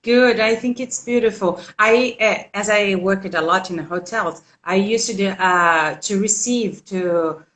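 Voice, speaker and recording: A woman's voice: medium pitch, measured pace, few pauses, fairly bright tone. A woman with a Brazilian Portuguese accent, a native Portuguese speaker, hosting a casual interview. She laughs often and halts with fillers. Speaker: host, English teacher. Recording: echoey room.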